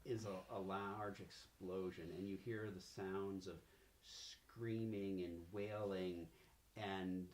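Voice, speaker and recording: A man narrating a casual tabletop game as game master, halting as he improvises his way along. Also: low-pitched, little pitch movement, a little mellow, roomy sound, a little hiss or hum.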